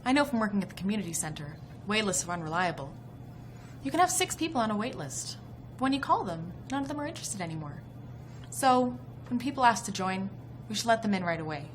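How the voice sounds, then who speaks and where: A woman taking part in a club discussion in a scripted dialogue, talking in an animated, frank way. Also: medium pitch, fast talker, slight background noise.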